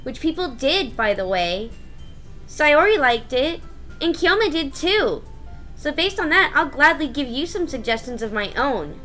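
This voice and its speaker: A woman voicing a bratty, prickly high-school girl in a visual novel, blunt and huffy in manner. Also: big pitch swings, unhurried, bright, forceful tone, faint music behind, exaggerated voice. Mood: huffy, snappish. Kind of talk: visual novel voice-over read aloud.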